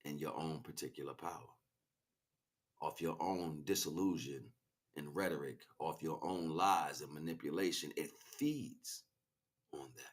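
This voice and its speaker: A man delivering an impassioned, improvised spiritual monologue, preachy and grandiose, swinging between hushed asides and loud, emphatic declarations. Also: rumbling low, measured pace. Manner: preachy, grandiose, repeats phrases for weight. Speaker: spiritual speaker, streamer.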